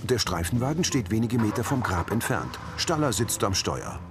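A low-pitched man narrates on-screen action as scripted audio description, his intonation moving freely. Music plays clearly behind him. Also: lively intonation, moderate pace. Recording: music under the voice.

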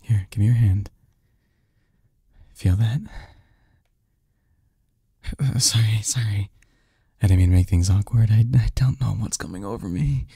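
A man acts out a lovestruck young man in an intimate ASMR romance. His voice is lowish, very dark and soft, with a husky grain. He murmurs haltingly, stammering through his confession, close and dry. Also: no echo, exaggerated voice. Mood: nervous, awkward. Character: lovestruck young male research assistant confessing to his best friend. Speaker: audio roleplay performer.

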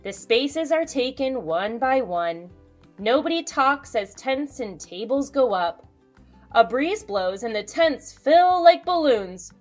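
A woman in her thirties, a children's host reading a picture book aloud with expressive storytelling delivery. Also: high-pitched, takes it slowly, faint music behind. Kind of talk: storybook read-aloud with asides to young viewers.

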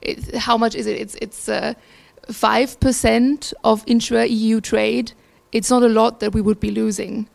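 A woman's voice: on the high side, flat delivery, measured pace, vocal fry, few pauses, a little mellow. A young woman from Austria, a student asking an earnest question at a seminar into a handheld mic. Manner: earnest, with many fillers. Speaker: student discussant. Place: lecture room.

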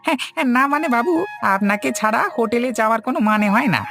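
A man's voice: very high voice, expressive, fast talker, a bit bright, heavily acted. A man voicing a cheeky, wheedling, fibbing servant of a landlord in a cartoon. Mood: wheedling, sheepish. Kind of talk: Bengali cartoon voice acting. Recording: background music under voice.

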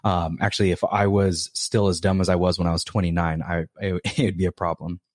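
A man's voice: deep voice, level tone, brisk, no echo, studio quality. A man in his thirties chatting as a podcast guest, easygoing, laughing often and rambling with restarts. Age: 30s. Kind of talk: casual interview chat.

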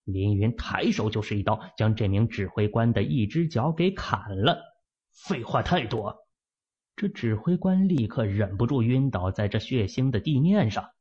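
A man narrating a fantasy novel as an audiobook, in a steady, measured storytelling manner, in a muffled lo-fi recording. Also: lowish voice, breathy edge.

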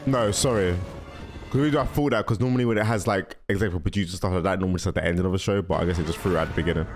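A man's voice: low voice, rapid-fire. A young man giving casual, slangy reaction commentary, musing aloud into a close microphone. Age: young adult. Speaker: reaction video host. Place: small indoor room at a desk. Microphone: close microphone on arm, headphones on. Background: music from the cartoon intros being watched.

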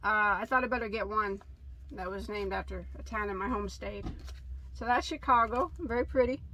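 A woman's voice: medium pitch, normal speed, sharp tone, slight background noise, rough recording. A woman in her thirties from Illinois, hosting a casual yarn haul chat in a craft room, enthusiastic and laughing at herself. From Illinois, USA. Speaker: crochet channel host.

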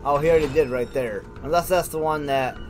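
A man's voice: a little high, fast, over music. A man giving casual, informal gameplay commentary as a let's play host, thinking aloud and reacting as he goes.

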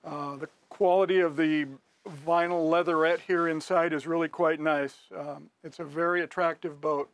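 A man's voice: fairly even intonation, moderate pace, dry recording. An older man as a salesman, walking through a boat in a large indoor showroom with a clip-on mic, talking in a friendly, plain way. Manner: friendly, plain sales pitch with some fillers. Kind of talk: product walkthrough.

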